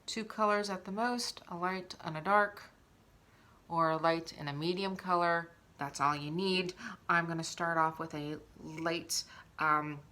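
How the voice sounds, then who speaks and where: A woman presents a casual makeup tutorial in a bright, forward voice. Her pitch sits a little low and her pace is unhurried. She talks through each step instructively while demonstrating it.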